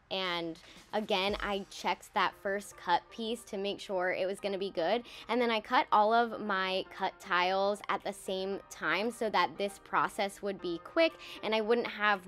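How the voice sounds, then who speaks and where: A woman's voice: mid-range voice, a bit bright. A young woman narrating her own renovation video in a voice-over, enthusiastic and explanatory about the project. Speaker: DIY renovation vlogger. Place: voice-over, not recorded in the pictured bathroom.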